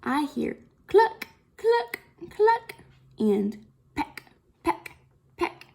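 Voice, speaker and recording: A woman leads an interactive storytime for young children. Her voice is high and very animated, rising and dipping in a sing-song way. She takes her time, drawing words out very slowly and deliberately as she reads and puts questions to her listeners. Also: vocal fry. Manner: sing-song, slow and deliberate, with exaggerated expression and frequent questions. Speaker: children's storytime reader and teacher. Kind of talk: interactive read-aloud of a picture book for young children.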